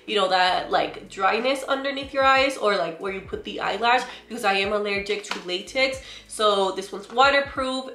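A young woman with a naturally loud, mid-pitched voice talks straight to her viewers in a chatty, emphatic way. She films in her bedroom, and the room gives her voice a noticeable echo. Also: a little hiss or hum. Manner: chatty and emphatic, often says "girl" and "you guys". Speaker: beauty vlogger. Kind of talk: casual product haul talk to camera. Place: bedroom, indoors.

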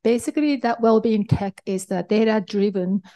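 A woman's voice: medium pitch, moderate pace, warm tone. A middle-aged woman with a Japanese accent, presenting to a conference audience as an investor, hesitant with frequent ums and restarts. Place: conference hall.

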